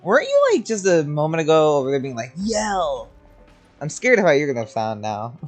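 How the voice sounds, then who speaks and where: Lively, slangy game streamer. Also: mid-range voice, moderate pace. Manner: expressive, slangy, swearing. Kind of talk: casual gameplay reaction chat. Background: faint game music.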